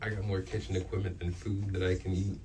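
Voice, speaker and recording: A man's voice: sits low, little pitch movement, quick pace. A young man working as a student radio host, chatting casually and informally. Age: young adult. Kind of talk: casual off-air chat between radio segments. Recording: distant mic, loud background noise.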